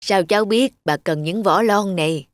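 A woman voicing a poor, kindly old woman who speaks quietly and tenderly, in a cartoon. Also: mid-range voice, moderate pace, no echo, lightly acted. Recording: music bed under voice.

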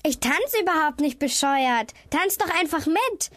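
A woman's voice: high-pitched, lively intonation, a little slow, a bit bright, no room sound. A woman playing a playful young girl on a children's show.

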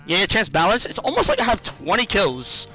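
A man in his twenties streaming a game, chatting excitedly and firing off repeated, slangy callouts to teammates. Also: sits high, a little fast, dull audio, soft background music. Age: 20s. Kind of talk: excited live gameplay chat with teammate callouts. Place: indoor room at home.

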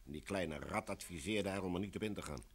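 An actor playing a brash, jovial film director in a Dutch radio play, talking bluntly and informally. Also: male voice, low voice, fast talker. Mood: chatty, blunt.

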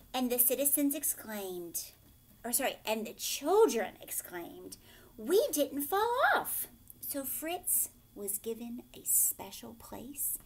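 A woman reads a children's story aloud at an unhurried pace. Her voice sits fairly high and swings widely in pitch, with an instructive delivery that stops to explain and question. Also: creaky, husky. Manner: expressive, instructive, pausing to ask questions. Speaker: teacher reading a picture book aloud. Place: furnished living room, indoors.

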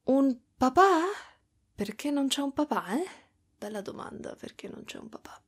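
A woman voicing a gentle, protective spider-woman mother in an intimate ASMR roleplay, soft and breathy, often slipping toward a whisper. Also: medium pitch. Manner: soft, cooing, hushed, drifting into whispers.

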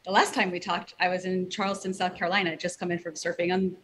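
A middle-aged woman talks casually and warmly as a guest over a video call. She is heard through a headset with a faint hiss of noise behind her. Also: on the low side, flat delivery, fast. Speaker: guest expert.

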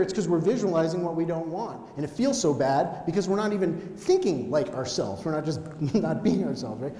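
A middle-aged American man giving a conversational motivational talk on stage, telling stories in an animated manner. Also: a little high. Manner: animated, conversational, acts out dialogue. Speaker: keynote speaker. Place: on stage in an auditorium. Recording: echoey hall with background noise.

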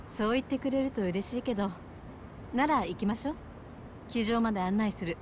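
An actress playing a friendly, straightforward bar owner in a video game, talking casually and directly. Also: female voice, a little high, fairly quick, noisy background, lightly acted. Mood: apologetic. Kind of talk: video game cutscene acting.

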